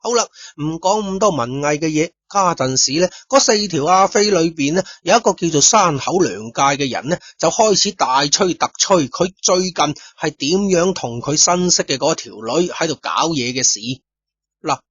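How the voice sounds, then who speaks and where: Chatty guy narrating a story, husky voice, muffled lo-fi recording. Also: a little high, lively intonation, moderate pace, fairly bright tone, dry recording. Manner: chatty, conversational asides to listeners. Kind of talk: storytelling narration of a radio drama. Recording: dull lo-fi recording.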